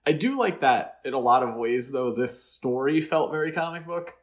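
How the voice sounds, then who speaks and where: A man's voice: medium pitch, expressive, normal speed. A man co-hosting a podcast, giving his opinions on a film in loose, casual conversation. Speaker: podcast host.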